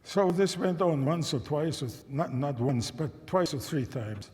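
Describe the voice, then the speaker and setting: A man's voice: a little high, lively intonation, darkish tone. Elderly man telling a memory in a reverberant church. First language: German and Czech. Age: 90s. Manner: measured, thoughtful, with frequent restarts and questions to listeners. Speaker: guest speaker. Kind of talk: telling a personal memory to an audience. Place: church sanctuary.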